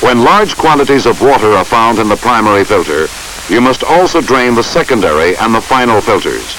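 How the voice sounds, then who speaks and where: A man narrates a training film in a formal, measured, instructional style. His pitch is medium and moves in a lively way. The soundtrack is old, with a faint hiss behind the voice. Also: poor audio.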